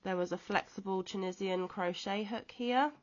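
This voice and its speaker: A woman from the UK who is ill and wheezy with a chesty cough, chatting casually off camera about a crochet magazine with frequent pauses and ums. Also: medium pitch, muffled recording. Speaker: crochet vlogger. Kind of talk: casual show-and-tell chat. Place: at home, off camera.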